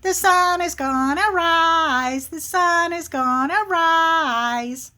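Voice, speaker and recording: Woman as a high-pitched cheeky little squirrel. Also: drawn-out words, bright, forceful tone, heavily acted. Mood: taunting, gleeful. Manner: sing-song taunting. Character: cheeky, smart-alecky little male squirrel. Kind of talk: animal folktale storytelling.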